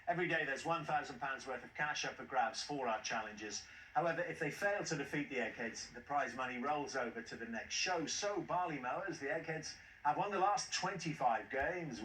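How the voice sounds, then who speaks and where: A man fronting a TV quiz with a bright, forceful voice and a jovial, chuckling manner. He sits back from the mic, so the studio's echo comes through clearly under a faint hiss. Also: fairly high pitch, expressive, normal speed, recorded from a distance. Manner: jovial, chuckles at contestants' replies. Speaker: quiz show host. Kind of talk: TV quiz hosting.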